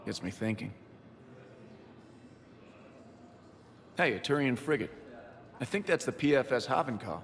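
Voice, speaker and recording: An actor playing a grieving, loyal military pilot in a sci-fi game, reflective, subdued and sincere. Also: male voice, mid-range voice, lively intonation, a little fast, creaky, in a large hall. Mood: wistful. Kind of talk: video game voice acting.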